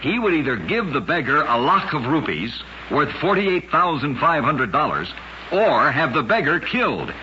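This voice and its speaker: An old lo-fi radio recording with slight noise carries a man telling scripted tales of curiosities. His voice is bright and forceful, with a dramatic, measured announcer's delivery. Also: on the high side, sing-song. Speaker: radio show narrator.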